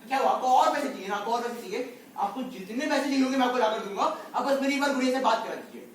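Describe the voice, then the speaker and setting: Casting: male actor as distraught pleading father, very high-pitched, live stage play heard from far off. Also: animated, very fast. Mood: desperate. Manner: pleading, repeats himself insistently. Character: distraught clown father pleading for his sick daughter. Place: indoor stage, recorded from a distance. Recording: echoey stage hall, far mic, faint noise.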